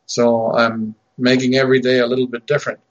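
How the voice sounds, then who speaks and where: Argentine-raised male co-host, halting chatty delivery, muffled lo-fi sound. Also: mid-range voice, flat delivery, a little hiss or hum. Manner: halting, repeats words, chuckles. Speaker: radio co-host and maker. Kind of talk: casual chat. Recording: dull lo-fi sound.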